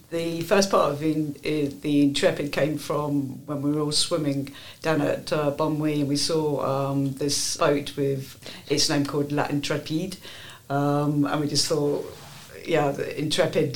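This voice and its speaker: A woman in her fifties from Jersey answering interview questions hesitantly, with many pauses and ums. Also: low-pitched, little pitch movement. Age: 50s. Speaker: guest.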